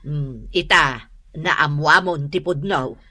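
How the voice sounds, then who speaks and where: A woman playing a nosy, excitable middle-aged aunt in a radio drama, exclamatory, with an Ilocano Filipino accent. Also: sits low, takes it slowly, full character voice. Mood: scandalised. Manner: exclamatory, emphatic. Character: nosy, excitable meddling aunt, a computer-savvy teacher.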